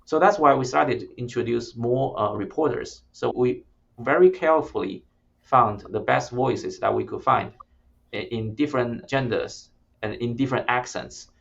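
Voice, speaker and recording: A founder talking through a dull, lo-fi video call line. He is a man whose first language is not English, and his mid-pitched voice carries a gravelly fry. He explains things plainly, restarting words as he goes. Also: normal speed. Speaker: startup founder. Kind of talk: interview conversation.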